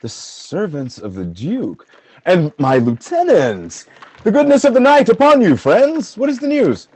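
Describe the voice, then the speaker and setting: Man playing a proud general, sweeping pitch. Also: wildly swooping pitch, a little slow, darkish tone, breathy edge, no room sound, full character voice. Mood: composed. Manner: stately, declamatory. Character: proud, self-assured male Moorish general. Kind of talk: amateur Shakespeare radio play acting. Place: home, recorded over a video call.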